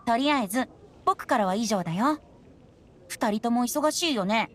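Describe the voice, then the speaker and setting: A woman's voice: a little high, lively intonation, moderate pace. A woman voicing a carefree, sly young boy bard in an anime game, light, breezy and teasing. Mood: knowing, breezy. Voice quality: light, boyish. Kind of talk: anime game character acting. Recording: game music behind the voice.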